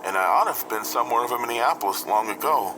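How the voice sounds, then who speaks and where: Over a noisy radio link with engine drone comes a male actor as a lost private pilot in a radio drama. He is a sheepish, inexperienced man with a medium-pitched voice, anxious and halting as he talks. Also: lively intonation, natural voice. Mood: sheepish, unsure. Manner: hesitant, stammering.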